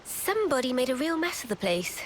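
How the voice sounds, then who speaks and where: A kind young female soldier in a video game. Her gentle, reassuring voice is high and lively, with faint ambience behind it. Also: a little fast, slight background noise. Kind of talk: video game cutscene acting.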